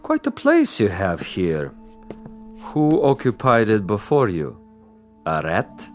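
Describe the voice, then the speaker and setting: An actor playing a suave, cruel Soviet commissar in a radio drama, theatrical and deliberate, with silky irony. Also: male voice, on the low side, wildly swooping pitch, faint music behind, full character voice. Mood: mockingly polite. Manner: theatrical, deliberate, silkily ironic.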